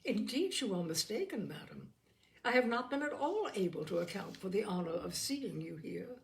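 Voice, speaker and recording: Woman reading as a young lady from an old novel, cool n quick-witted, won't be pushed around, lively pitch. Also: vocal fry. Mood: astonished, disdainful. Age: young adult. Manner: cool, measured, pointed. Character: composed, defiant, quick-witted young gentlewoman. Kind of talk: dramatised classic novel audiobook.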